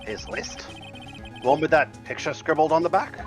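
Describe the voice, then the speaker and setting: A man's voice: fairly high pitch, expressive, moderate pace, faint music behind, close to an everyday voice. A man voicing a fussy elderly judge in a live fan dub of a game, with a theatrical, swooping delivery. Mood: puzzled. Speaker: fan voice actor.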